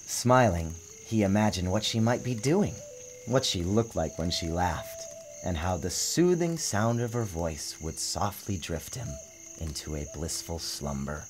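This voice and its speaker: A man giving a dramatic, expressive narration of a storybook, theatrical in his phrasing. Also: sits low, unhurried, slight background noise. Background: music.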